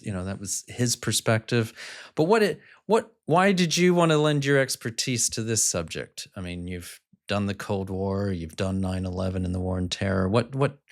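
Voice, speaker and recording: Rambling low-voiced male podcast host. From United States. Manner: rambling, circling questions. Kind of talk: podcast interview questions.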